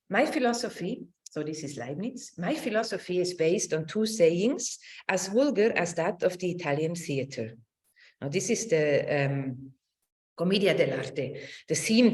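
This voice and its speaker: A middle-aged woman giving an academic lecture over a video call, with a halting, searching delivery that circles back over words. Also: on the low side, darkish tone. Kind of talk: academic lecture with slides and read quotations. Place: indoors on a video call with screen sharing.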